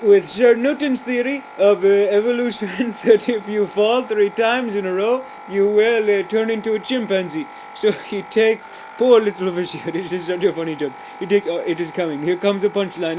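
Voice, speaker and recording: A cheerful, rambling joke-teller played by a man, stumbling through fillers and restarts in broken grammar. The voice sits high. Loud traffic noise runs behind the recording. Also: close to an everyday voice. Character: rambling, cheerful adult man telling pointless jokes. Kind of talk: comic character monologue.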